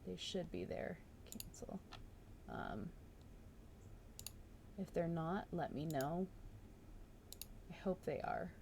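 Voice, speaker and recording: Low-pitched woman reading aloud, noisy recording. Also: expressive, takes it slowly, vocal fry, long pauses, darkish tone. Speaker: streamer reading a mystery novel aloud. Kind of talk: live read-aloud with casual commentary. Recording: noticeable background noise.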